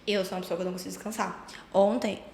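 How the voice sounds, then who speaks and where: Fast-talking Brazilian woman podcast host. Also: mid-range voice, little pitch movement, creaky, a little mellow, slight background noise. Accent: Brazilian Portuguese. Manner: quick, agreeable, lots of short affirmations. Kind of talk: casual podcast interview. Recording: echoey room with long reverb.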